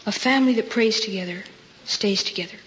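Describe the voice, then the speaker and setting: Young male, high-pitched, earnest vintage radio appeal. Dull, reverberant recording with faint noise. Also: fairly quick, a bit bright. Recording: dull, reverberant, hissy old radio broadcast.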